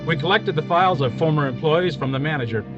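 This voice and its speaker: An actor playing a male police detective who narrates in a clipped, flat, matter-of-fact way in a parody police radio drama. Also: fairly high pitch, quick pace, lo-fi sound, over music, lightly acted.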